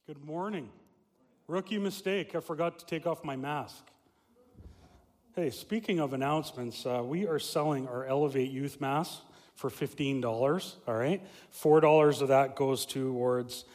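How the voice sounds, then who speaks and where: A young adult man, a family pastor, preaching a loud, lively sermon with many fillers and restarts. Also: mid-range voice, unhurried. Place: church sanctuary. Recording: reverberant church hall.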